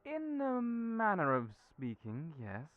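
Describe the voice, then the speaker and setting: Fussy doting hairdresser, a man doing it, lively swooping delivery, smooth. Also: sits high, slow pace, narrow, tinny audio, heavily acted. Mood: persuasive. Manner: fussy, doting, calls people dearie. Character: chatty flamboyant male hairdresser friend. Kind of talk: audio roleplay acting.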